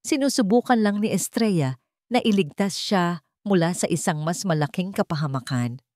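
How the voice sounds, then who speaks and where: A woman with a Filipino accent narrates a dramatic scripted story with emotive, deliberate phrasing. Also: on the low side, darkish tone, dry recording, clean studio sound.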